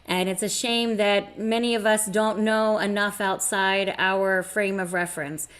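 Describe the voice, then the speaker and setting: Woman host wrapping up on zoom, measured and sincere, middle pitch. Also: steady tone, a bit bright, poor audio. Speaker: synagogue event host. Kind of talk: closing remarks. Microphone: video call.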